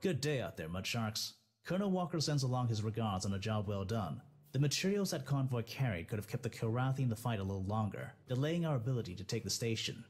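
A man's voice: mid-range voice, animated, quick pace, darkish tone, breathy edge, faint music behind. A man playing a stern, formal military officer delivering a mission briefing in a space game. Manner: formal, authoritative.

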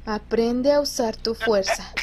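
Slow, cool, aloof anime girl soldier voiced by a woman. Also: on the high side, very noisy, lightly acted. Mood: cool, commanding. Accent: Latin American Spanish. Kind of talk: amateur anime fan dub acting.

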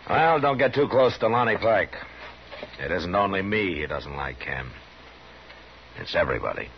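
An American man acting a terse, world-weary frontier marshal in a 1950s radio western, speaking in a laconic, measured way. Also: low voice, expressive, noisy background. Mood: stern, wary. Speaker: lead actor and narrator. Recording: muffled vintage radio, music behind.

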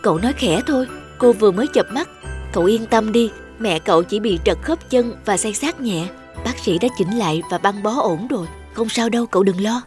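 A woman playing a calm, loyal young friend in a cartoon, composed and explanatory. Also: medium pitch, brisk, close to an everyday voice. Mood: calm, reassuring. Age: young adult. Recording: background guitar music over dialogue.

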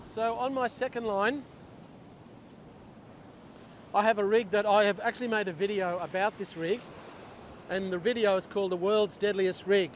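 A man's voice: high-pitched, fairly quick. A middle-aged man explaining step by step how he prepares bait and fishes from a beach, on a lapel mic outdoors. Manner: steady step-by-step explaining while working. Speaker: fishing instructor. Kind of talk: hands-on fishing tutorial. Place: open beach by the surf. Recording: wind and surf noise on lapel mic.

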